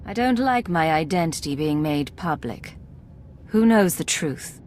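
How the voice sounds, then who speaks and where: A woman's voice: low voice, lively intonation, a little slow, darkish tone, slight background noise. A woman playing a cool, commanding Imperial secret agent in a video game, controlled and authoritative.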